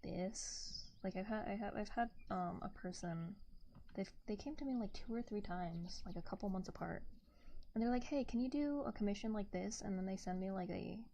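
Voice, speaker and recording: A soft-spoken woman hosting a casual ASMR art livestream, rambling gently to viewers into a close binaural microphone. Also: medium pitch, moderate pace. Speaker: livestreaming artist and host. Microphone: close binaural ear microphone. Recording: binaural ASMR mic with background noise.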